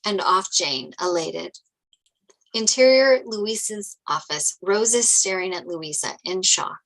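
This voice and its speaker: Woman narrating stage directions, medium pitch. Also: lively intonation, slow, fairly bright tone, low-quality recording. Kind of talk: scripted narration.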